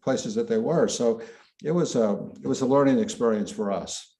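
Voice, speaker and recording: A man, a filmmaker, explaining his film in a panel discussion, measured and searching for words. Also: mid-range voice, warm tone.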